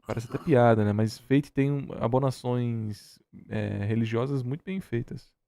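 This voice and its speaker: Brazilian male streamer, dark mellow voice, dry close sound, casual reactions with emphatic repetition. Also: mid-range voice, moderate pace, vocal fry, no echo.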